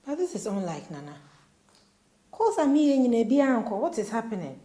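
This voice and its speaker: A woman's voice: on the high side, big pitch swings, moderate pace. A woman with a Ghanaian accent playing a worried young wife in a film, anxiously switching between Twi and English. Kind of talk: film dialogue. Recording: distant roomy echo, loud noise.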